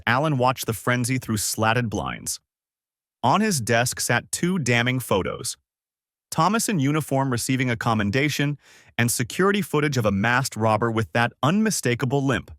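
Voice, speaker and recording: A man reading a scripted dramatic story in a measured, polished storyteller's delivery. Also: mid-range voice, a bit bright, no echo, clean studio sound. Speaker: narrator of an online story channel.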